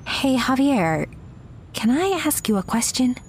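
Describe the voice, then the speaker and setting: Audio drama: impulsive, gushing young woman, high-voiced, unhurried, confiding and nosy. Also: lively intonation, slight background noise. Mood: eager. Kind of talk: naturalistic audio drama acting.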